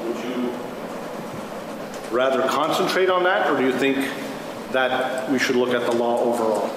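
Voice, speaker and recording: A man playing a patient meeting facilitator, halting and repeating himself as he prompts a group discussion. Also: medium pitch, lightly acted. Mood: patient, prompting. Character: patient male facilitator steering a community discussion. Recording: very echoey hall, clear background noise.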